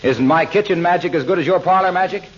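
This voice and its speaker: An actor playing a genial, smooth-talking salesman friend who pitches products in an old radio comedy. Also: quick pace, close to an everyday voice. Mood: salesmanlike. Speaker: comic pitchman. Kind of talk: 1940s radio comedy acting and announcing. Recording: muffled old radio recording, faint noise.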